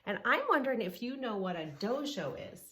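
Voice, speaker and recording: Expressive woman reading a children's story. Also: fairly high pitch, a little fast. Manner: expressive, addresses young listeners with questions. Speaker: storytime host and narrator. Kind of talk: children's read-along storytelling with asides.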